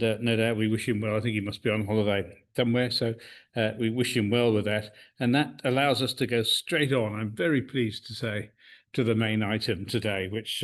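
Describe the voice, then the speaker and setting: A man, chairing a club meeting in a meeting room. He talks hesitantly, with many uhs and restarts. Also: mid-range voice. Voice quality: loud voice.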